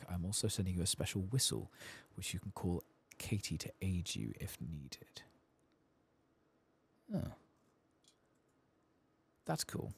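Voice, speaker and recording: A soft-spoken man giving low-key ASMR commentary as he plays a game, muttering to himself. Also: slightly rough. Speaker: gaming video host.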